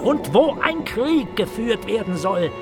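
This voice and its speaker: An actor playing a hateful, sneering dog in a German radio drama, declaiming in a haughty manner. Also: male voice, sits high, very animated, a little slow, over music, heavily acted. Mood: venomous. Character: hateful, sneering male dog reading a pamphlet aloud.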